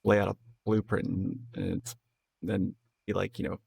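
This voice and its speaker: Hoarse, gravelly man chatting on stream. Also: low-pitched, flat delivery, moderate pace, a little mellow, no echo. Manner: rambling, loose, swears freely. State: tired. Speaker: game streamer. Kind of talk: casual live-stream chat.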